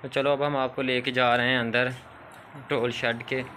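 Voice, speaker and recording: A man's voice: medium pitch, flat delivery, normal speed. A young man from Pakistan giving a cheerful walking tour of a poultry shed, talking to his viewers and greeting workers, recorded on a phone at arm's length. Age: young adult. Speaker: vlogger giving a farm tour. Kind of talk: casual walking tour commentary. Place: large poultry shed with rows of caged hens. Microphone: phone held at arm's length. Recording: loud background noise from the shed.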